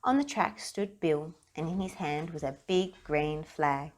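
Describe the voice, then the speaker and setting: A woman reading a children's story aloud in an expressive narrating style. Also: deep voice, measured pace. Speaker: storybook reader.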